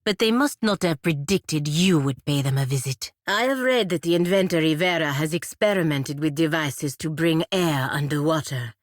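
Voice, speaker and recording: A woman's voice: deep voice, no room sound, lightly acted. A woman playing a stern, proud feathered warrior in a fantasy video game, speaking formally and measuredly with dry sarcasm. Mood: dry, observant. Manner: formal, measured, dryly sardonic, avoids contractions. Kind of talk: scripted video game companion voice lines.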